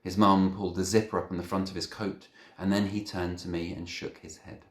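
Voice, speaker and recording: A man reading a children's novel aloud as an expressive narrator. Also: low-pitched, a little fast. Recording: echoey room.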